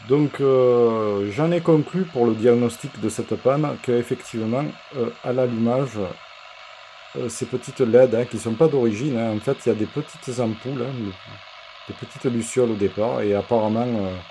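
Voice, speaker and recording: A man presenting an unscripted workshop demonstration as a repair technician, explaining things in a halting, hesitant way. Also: mid-range voice, dark tone, a little hiss or hum, low-quality recording. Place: indoor electronics workshop.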